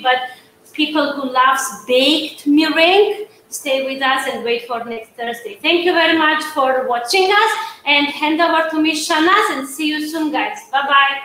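Georgian lady chef doing a cake demo, high voice, chatty broken english, big echoey kitchen. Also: bright, forceful tone. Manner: chatty, coaxing, broken English, keeps saying guys. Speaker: pastry chef. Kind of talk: live cooking demonstration. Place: large studio kitchen, indoors. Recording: echoey studio kitchen, faint hum.

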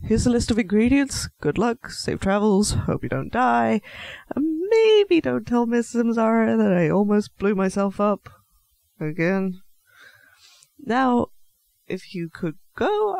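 High-pitched, flustered half-elf alchemist played by a man. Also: sing-song, measured pace, creaky, darkish tone, no room sound, heavily acted. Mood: polite, brisk. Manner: flustered, stammering, apologetic, politely chatty. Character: clumsy, nervous, small young male half-elf alchemist shopkeeper. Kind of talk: scripted fantasy audio roleplay addressed to the listener.

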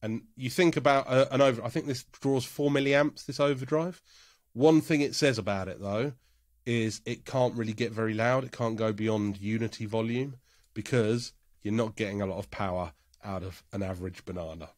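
A man's voice: lowish voice, normal speed, dry recording, studio quality. A man co-hosting a casual podcast, his voice congested from a cold, chatty and joking with frequent fillers. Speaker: podcast co-host. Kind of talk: casual chat.

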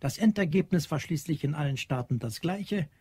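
Ein Schauspieler spricht den sachlichen Erzähler eines Science-Fiction-Hörspiels. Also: male voice, a little high, expressive, moderate pace, a little mellow, slightly rough. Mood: sober. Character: sober chronicler narrating a science fiction tale. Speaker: narrator. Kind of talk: scripted narration. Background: music.

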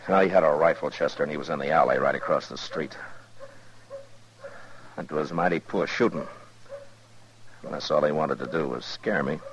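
Deep-voiced, dry frontier lawman. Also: normal speed, long pauses. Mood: terse, watchful. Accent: American. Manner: dry, commanding. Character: steady, dry-humored frontier lawman, grown man in charge. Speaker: actor in a radio western. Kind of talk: 1950s radio western acting. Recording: dull, noisy 1950s radio recording.

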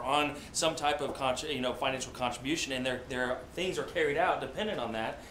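A man's voice: medium pitch, fairly bright tone, a little hiss or hum. A middle-aged man moderating a group discussion, walking between tables and summarizing answers in a large meeting room. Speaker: panel moderator. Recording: echoey room.

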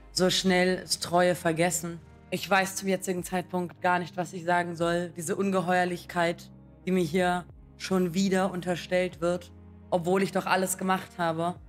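A solemn, wronged medieval monarch played by a woman in a fantasy game. The low voice moves slowly and gravely, over faint music. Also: natural voice. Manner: slow, solemn, measured.

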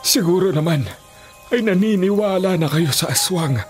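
Casting: actor as fatherly older man, fairly high voice, reassuring and knowing, radio drama with music underneath. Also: moderate pace, close to an everyday voice. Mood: grave, explaining. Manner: explanatory, reassuring. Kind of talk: Filipino radio drama acting. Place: small radio studio. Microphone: studio condenser microphone. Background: music bed.

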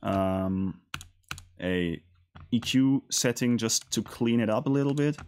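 A man hosting a casual music production tutorial in a studio, talking in a hesitant, halting way. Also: fairly low pitch, a little mellow. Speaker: tutorial host.

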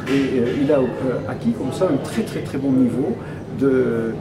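A man in his fifties speaks at a middling pitch, as if answering an interview. He explains in a measured, hesitant way, pausing often within phrases. Loud ambient noise runs behind him. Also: lively intonation. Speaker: restaurant owner interviewee. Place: indoor restaurant room.

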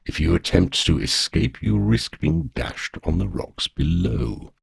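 A vast, scheming she-dragon, voiced by a male storyteller, speaking slowly with rumbling, gloating menace. The voice is very low and gravelly. The recording is close and dry. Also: expressive, no echo, heavily acted. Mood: menacing. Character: huge scheming female dragon, smug and menacing.

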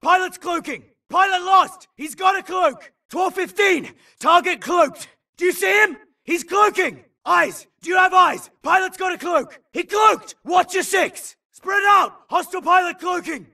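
An actor playing a frantic futuristic infantry grunt in a video game, yelling urgent, clipped military callouts in a gravelly voice. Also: male voice, extremely high pitch, unhurried, far from the mic, heavily acted. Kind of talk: shouted video game combat barks.

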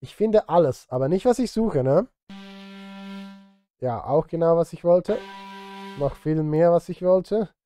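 A man's voice: a little high, measured pace, long pauses, dark tone. A middle-aged man chatting casually on a live stream as a music streamer, thinking aloud and grumbling at himself, close on a studio mic. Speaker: streamer and film music composer. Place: home studio, indoors. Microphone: close studio microphone.

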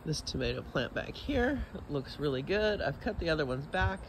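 A man with a Texan accent giving a relaxed, chatty tour of his backyard garden, filmed on a phone as he walks. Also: moderate pace. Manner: relaxed, chatty, lively rises in pitch. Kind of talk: casual walking garden tour. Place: outdoors in a suburban backyard garden. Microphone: phone camera held while walking. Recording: outdoor phone audio with clear background noise.

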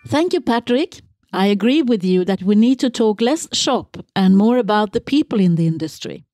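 A woman's voice: mid-range voice, animated, moderate pace, dark tone, dry recording. A woman hosting a podcast, speaking in a conversational interviewing style. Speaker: podcast host.

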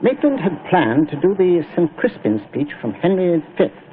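Grieving army general, halting, formal, expressive pitch swings, tinny vintage broadcast. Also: male voice. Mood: grave, grieving. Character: sorrowful, dignified male army general. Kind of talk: 1940s radio drama acting. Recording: noisy, tinny 1940s radio broadcast.

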